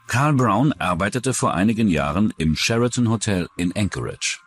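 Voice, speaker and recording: Deep-voiced man narrating true crime. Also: lively intonation, normal speed. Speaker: documentary narrator.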